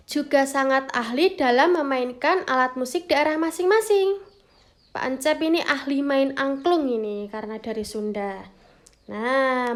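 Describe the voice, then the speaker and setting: High-voiced encouraging woman teacher. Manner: warm encouraging, calls pupils "Nak", asks questions then answers them. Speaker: primary school teacher. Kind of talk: online lesson for children.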